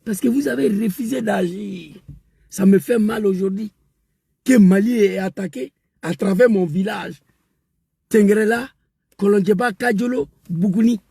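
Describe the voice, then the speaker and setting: A man delivering an impassioned political rant, emphatic and repetitive, rising to shouts. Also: high voice, a little slow, vocal fry, slightly rough, dry recording. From West Africa. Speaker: political commentator.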